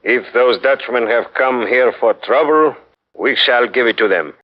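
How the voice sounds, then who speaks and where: An actor playing a stern, proud Spanish fortress governor in a 1940s radio drama, haughty and indignant. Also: male voice, medium pitch, unhurried, lightly acted. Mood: commanding. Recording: dull 1940s radio recording.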